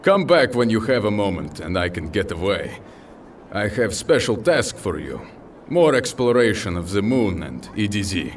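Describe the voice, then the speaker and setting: Hearty, affectionate commander, male actor, formal wording, lively deep delivery, slightly distant mic, audio drama. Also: normal speed. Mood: confiding, weary. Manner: formal, uncontracted, slightly stilted phrasing. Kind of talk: audio drama character acting. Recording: distant mic with faint noise.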